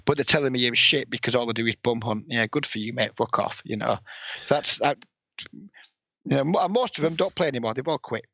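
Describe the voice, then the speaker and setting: A man with a northern English accent and a raspy voice, a blunt, heavily swearing guest in a casual podcast interview who sniffs and coughs. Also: mid-range voice, a little fast, sharp tone, no echo. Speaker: poker player and coach, guest. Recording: dull lo-fi, dry sound.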